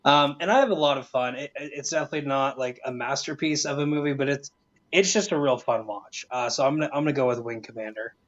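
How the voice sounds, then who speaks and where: A man's voice: mid-range voice, quick pace, fairly bright tone. A man on a panel casually giving opinions in a hesitant way, often repeating words. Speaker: panelist on a movie show. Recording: dull, lo-fi audio.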